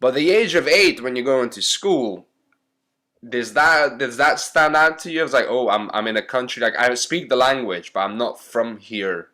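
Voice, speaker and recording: A man's voice: medium pitch, animated, normal speed, sharp tone. A man hosting a podcast, chatting casually and joking, with long rambling questions. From Hungary. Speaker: podcast host. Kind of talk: casual interview chat.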